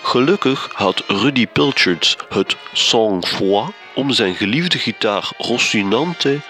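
A man's voice: medium pitch, animated, takes it slowly, music in the background. A man playing a pompous, self-admiring storyteller, narrating a gothic radio drama in a florid, theatrical manner. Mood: dramatic recap.

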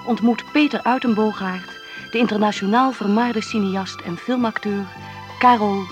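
A woman's voice: medium pitch, moderate pace. A woman making a formal scripted announcement as a radio announcer. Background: music.

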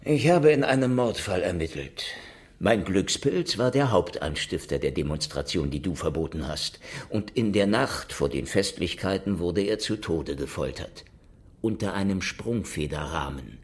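An actor in a German radio drama playing a world-weary, sardonic police investigator who speaks and narrates with dry, insolent irony. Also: male voice, sits low, expressive, moderate pace, breathy edge, no room sound. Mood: insolent, probing. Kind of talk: German radio drama acting with hard-boiled first-person narration.

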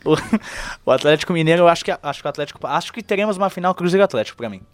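Fast-talking young São Paulo man. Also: on the high side, lively intonation, no room sound. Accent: São Paulo Portuguese. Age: young adult. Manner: quick, teasing, leads the talk. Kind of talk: casual sports debate.

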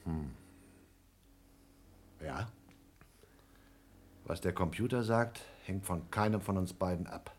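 A man's voice: low-pitched, takes it slowly, long pauses, darkish tone. A man playing a distrustful, argumentative man trapped with his rival, in a radio play. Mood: suspicious. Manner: suspicious, argumentative.

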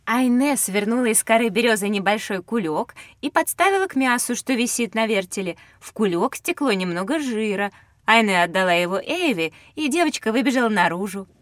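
A woman playing an attentive, inquisitive schoolgirl who speaks clearly and earnestly in a children's educational audio drama. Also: a little high, lively intonation, brisk, fairly bright tone, no room sound, studio quality. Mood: narrating.

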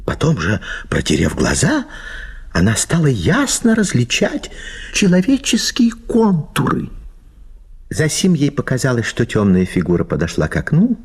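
A man reading a literary short story as an expressive, theatrical narrator. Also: mid-range voice, moderate pace, creaky, breathy edge. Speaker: narrator of an audio play.

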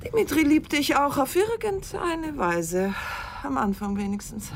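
An actress playing a seductive, teasing middle-aged newlywed in a radio drama, worldly and unrepentant, with an ironic, coquettish way of talking. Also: female voice, sits high, animated, measured pace, creaky, slight background noise, full character voice. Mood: defiant, ironic.

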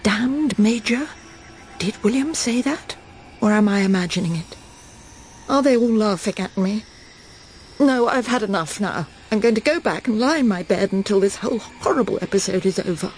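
A woman's voice: medium pitch, expressive, moderate pace, a little mellow, a little hiss or hum, close to an everyday voice. An actress playing a proper middle-aged Englishwoman in a radio drama, with an English accent. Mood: anxious. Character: smug middle-aged Englishwoman, lost and breaking down.

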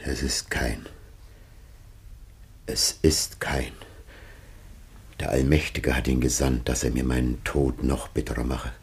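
An older man acting in a radio drama as a grieving, solemn old patriarch facing death, husky and gravely declamatory. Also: rumbling low, flat delivery, takes it slowly, long pauses, full character voice. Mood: grave resignation.

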